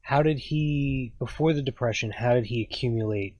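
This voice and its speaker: Man, mid pitch, curious questioner, dry room. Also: normal speed, a little mellow, dry recording, lo-fi sound. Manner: curious, asks follow-up questions. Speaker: family interviewer. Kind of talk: casual family interview.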